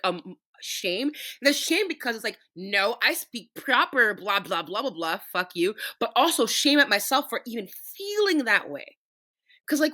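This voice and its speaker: A woman's voice: medium pitch, bright, forceful tone. A young woman from Canada co-hosting a casual podcast chat, talking with passion, swearing freely and ranting at length. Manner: impassioned, profane, ranting. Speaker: podcast co-host.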